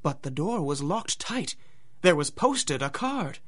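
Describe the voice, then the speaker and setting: A woman's voice: sits low, big pitch swings, slow pace, husky. A woman narrating a scripted rhymed adventure story, with a dramatic, singsong rhythm.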